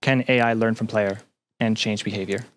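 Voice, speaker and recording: A man with a fairly low voice speaks as a guest on a podcast. He talks casually and haltingly, often pausing to find the word he wants. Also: fairly even intonation, fairly bright tone, clear, resonant tone. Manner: halting, searching for words. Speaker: podcast guest, graduate student. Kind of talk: casual interview chat.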